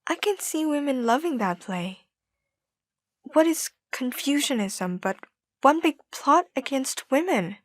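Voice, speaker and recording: A woman's voice: high-pitched, sing-song, unhurried, no echo. A young woman playing an outspoken, intellectual student in a stage play, earnest and provocative. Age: young adult.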